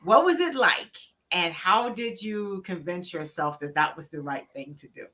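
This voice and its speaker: A woman's voice: fairly low pitch, animated, moderate pace, pushed and bright. A woman hosting an interview podcast, upbeat and encouraging as she introduces and questions her guest. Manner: upbeat, encouraging, laughs easily. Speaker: podcast host.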